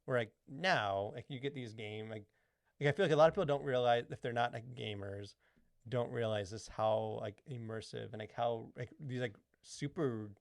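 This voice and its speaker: A man's voice: mid-range voice, moderate pace, vocal fry, no room sound, studio quality. A man co-hosting a podcast in casual chat, rambling with lots of "like" and "you know". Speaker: podcast co-host.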